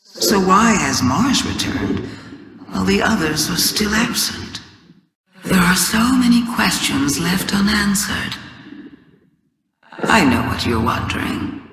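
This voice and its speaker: A woman playing an ancient, cunning alien witch queen in a theatrical video game monologue, sly, deliberate, taunting and grandiose. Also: lowish voice, heavily acted. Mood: grave, defiant. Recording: echoey voice with long cavernous reverb.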